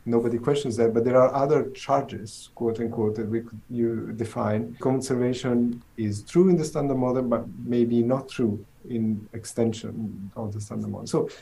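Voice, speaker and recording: A man's voice: medium pitch, fairly even intonation, moderate pace, creaky, few pauses, warm tone, slight background noise, rough recording. A middle-aged man from southern Italy with an Italian accent, a theoretical physicist answering interview questions thoughtfully, with many restarts.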